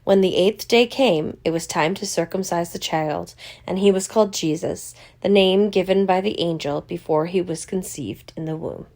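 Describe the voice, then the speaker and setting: Woman reading scripture, slightly creaky. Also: on the low side, moderate pace, few pauses, darkish tone. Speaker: scripture reader at a church service.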